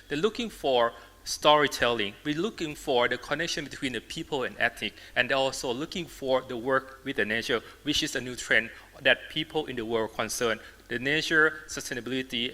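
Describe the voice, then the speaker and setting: A man with a Thai accent presents his work as an artist and designer to a symposium. His voice is very bright and forceful, with halting, emphatic phrasing. It rings with the long reverb of a large hall. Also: mid-range voice. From Thailand. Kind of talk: public presentation in non-native English. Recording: reverberant hall, amplified talk.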